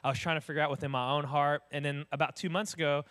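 A young man, an associate pastor with a booming voice, telling stories in a casual, animated sermon. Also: fast. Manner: animated storyteller, acts out voices.

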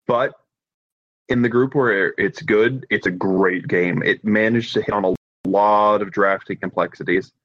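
Lower-voiced man on a dull mic. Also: steady tone, measured pace, a bit bright, no room sound. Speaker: podcast co-host and reviewer. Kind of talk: casual opinionated board game discussion.

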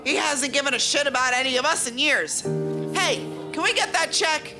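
A woman's voice: sits high, moderate pace, lightly acted. A woman playing an exasperated former employee in an audio comedy, blunt and sweary. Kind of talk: scripted audio comedy acting. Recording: music under the voice.

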